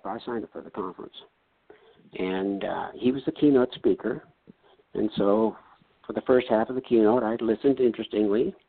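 Gravelly older man on a tinny line. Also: lowish voice, no room sound. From North Dakota. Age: 70s. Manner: plain storytelling, folksy and earnest, acts out quoted exchanges. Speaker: retired doctor. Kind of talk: personal story, casual talk. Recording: tinny phone-like line, dry.

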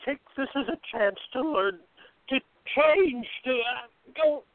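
A man's voice: very high-pitched, lively intonation, takes it slowly, no room sound, full character voice. A man playing an anxious man who earnestly pleads with a friend, on a tinny phone-like line. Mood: pleading.

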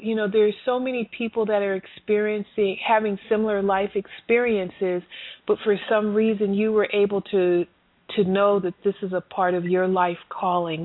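A woman's voice: medium pitch, no echo. A woman hosting a radio interview, asking long questions that ramble and stumble as she searches for words. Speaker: radio host. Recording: dry tinny phone line.

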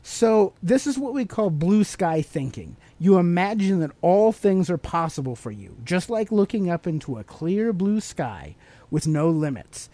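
A man's voice: sits high, very animated, unhurried, warm tone, no echo. A man hosting a podcast, teaching motivational ideas in a conversational, explanatory way and putting questions to the listener. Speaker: podcast host.

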